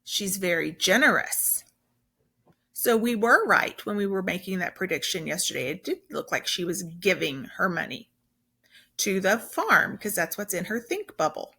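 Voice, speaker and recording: A woman's voice: mid-range voice, sing-song, normal speed, bright, forceful tone, breathy edge. A woman teacher reading a children's story aloud, explaining simply and with emphasis as she goes.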